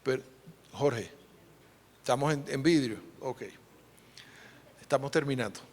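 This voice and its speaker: A man presenting a formal, explanatory conference lecture as interpreter, with hesitant pauses. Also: medium pitch. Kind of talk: conference lecture on numismatics, relayed for another speaker. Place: large conference hall. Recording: echoey hall with long reverb.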